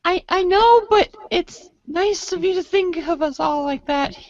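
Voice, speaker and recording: Woman playing a very high-voiced, sweet young girl. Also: measured pace, darkish tone, no room sound, dull audio. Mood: cheerful, grateful. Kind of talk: amateur reader's theater character reading.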